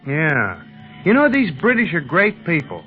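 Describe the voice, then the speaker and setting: An actor playing a folksy, grumbling, good-hearted pilot sidekick in a 1930s radio serial, slangy and blustering. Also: male voice, on the high side, wildly swooping pitch, measured pace, faint music behind. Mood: cheerful bluster. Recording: dull, noisy 1930s radio recording.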